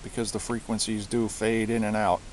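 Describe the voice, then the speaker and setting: A man giving a casual show-and-tell of his gear, speaking haltingly and often repeating himself as he searches for words. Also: on the low side, level tone, poor audio. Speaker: hobbyist presenter. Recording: hissy amateur home recording.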